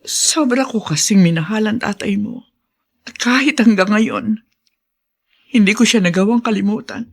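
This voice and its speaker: A woman's voice: medium pitch, normal speed, pauses often, natural voice. An actress playing a sorrowful, contrite middle-aged mother in a Filipino radio drama, confessing with earnest melodrama. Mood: sorrowful, resigned.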